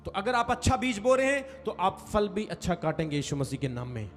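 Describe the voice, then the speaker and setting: A man's voice: sits high, big pitch swings, a little fast. A man preaching a sermon to a congregation, stressing and stretching key phrases and calling for responses. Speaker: pastor. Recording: reverberant hall with faint music. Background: faint music.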